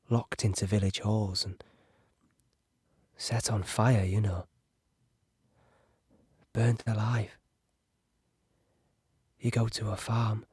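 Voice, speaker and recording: British wartime soldier, earnest, reflective, sombre monologue, mid-pitched, dry close sound, audio drama. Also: male voice, darkish tone, husky, no echo, exaggerated voice. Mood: grim, subdued. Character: sombre, conscience-troubled British soldier recounting the Normandy campaign. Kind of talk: dramatic first-person monologue in an audio play.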